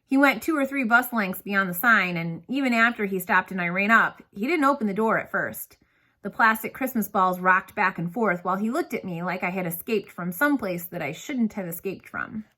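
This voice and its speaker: A woman reads a story aloud as narrator, with expressive and dramatic phrasing. Her voice is bright and forceful, sitting at a medium pitch. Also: normal speed.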